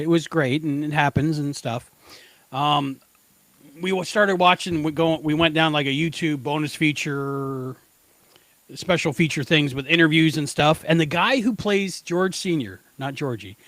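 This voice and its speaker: A middle-aged man co-hosting a podcast, chatting casually about pop culture in an animated, slangy, emphatic way. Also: a little high, no room sound. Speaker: podcast co-host.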